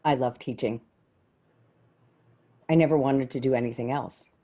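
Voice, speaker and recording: A woman playing an earnest, shaken middle school teacher in a staged monologue, reflective and halting, with deliberate pauses, over a video call. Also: a bass voice, gravelly, mellow, full character voice. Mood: fond, reflective.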